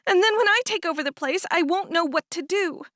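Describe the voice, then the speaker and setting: A woman's voice: extremely high pitch, fairly quick, fairly bright tone, no echo, studio quality, pitched above the performer's own voice. A woman reading aloud and voicing an upset, stubborn teenage farm girl who talks in a sulky, indignant way.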